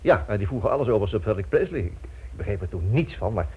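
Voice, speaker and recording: Quick, husky, earnest writer. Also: male voice, fairly low pitch, animated. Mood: insistent. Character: earnest, agitated writer reporting a conspiracy. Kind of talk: Dutch radio drama acting. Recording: thin muffled old radio recording.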